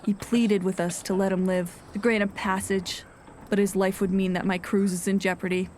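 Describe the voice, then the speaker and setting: A woman playing a grave nineteenth-century ship's captain, reading out a log in a formal, measured way for an audio drama. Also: mid-range voice, little pitch movement, darkish tone, slight background noise, natural voice. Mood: stern, suspicious. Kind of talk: scripted audio drama narration. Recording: music under the voice.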